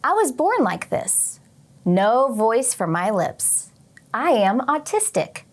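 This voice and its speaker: A woman teacher reading a picture book aloud to young children expressively, pausing for short teaching asides. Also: a little high, big pitch swings. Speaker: teacher and read-aloud host.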